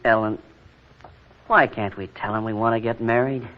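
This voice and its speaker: A man's voice: on the low side, steady tone, moderate pace, natural voice. A man playing a plainspoken, earnest young farmhand in a 1940s radio drama. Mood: insistent. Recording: muffled old radio recording with loud hiss.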